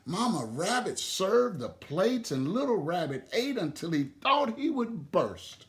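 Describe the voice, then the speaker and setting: A man telling and explaining a children's story in a deliberate, expressive way. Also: sits high.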